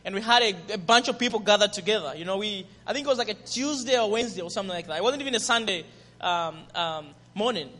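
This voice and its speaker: Lively Zambian-accented man preaching. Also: sits high, fast talker. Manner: lively and friendly, with call-and-response and laughter. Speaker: visiting missionary pastor. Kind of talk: guest sermon and ministry testimony. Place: church sanctuary. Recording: reverberant church hall.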